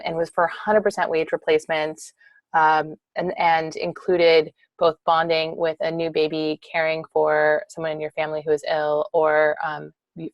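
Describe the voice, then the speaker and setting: Low-voiced woman legislator, dry sound. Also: dry recording. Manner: restarting, self-correcting. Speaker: state representative. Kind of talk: policy talk at an online town hall.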